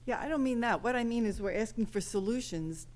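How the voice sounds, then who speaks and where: A woman's voice: fairly high pitch, lively intonation, brisk, darkish tone. A woman on a committee asking direct, probing questions off the cuff at a public meeting. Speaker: township committee member. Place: public township meeting room.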